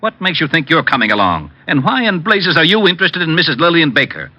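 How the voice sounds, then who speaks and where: A man's voice: fairly high pitch, sing-song, quick pace, bright, forceful tone, full character voice. An American man playing an exasperated, short-tempered police lieutenant who barks his lines in an old radio drama. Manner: blustering, barking. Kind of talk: 1940s-50s radio drama acting. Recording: muffled old-time radio recording.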